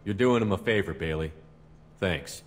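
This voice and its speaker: An actor playing a calm, principled military commander in a video game, speaking plainly and directly. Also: male voice, low voice, moderate pace. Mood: firm. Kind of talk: video game character dialogue. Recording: noticeable background ambient noise.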